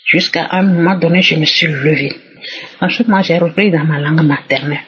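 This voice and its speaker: A woman's voice: sits low, a little fast, a little hiss or hum. Emphatic woman giving a testimony. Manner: emphatic, repeats phrases. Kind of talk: personal testimony. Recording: muffled lo-fi recording.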